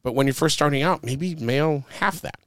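A man's voice: on the high side, moderate pace, fairly bright tone, clean tone, dry recording, studio quality. A man co-hosting a podcast, giving casual marketing advice in a rambling way, full of fillers and sports analogies. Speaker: podcast co-host.

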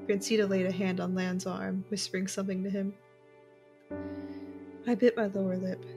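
A woman's voice: mid-range voice, close to an everyday voice. A woman playing a guarded young intelligence agent who narrates a space opera audio drama in the first person.

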